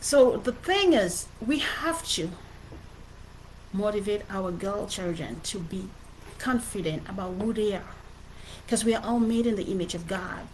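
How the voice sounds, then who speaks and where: A middle-aged woman with a Cameroonian accent talks informally on a livestream in a motivational way. Her medium-pitched voice is earnest and emphatic, and she often restates her phrases. There is faint noise in the recording. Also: lively intonation, unhurried, poor audio. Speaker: author presenting her book.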